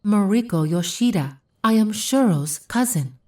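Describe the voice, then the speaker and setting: A woman's voice: medium pitch, animated, a little slow, darkish tone, recorded from a distance, lightly acted. A woman playing a polite, demure young Japanese woman in an audio drama. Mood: shy, polite.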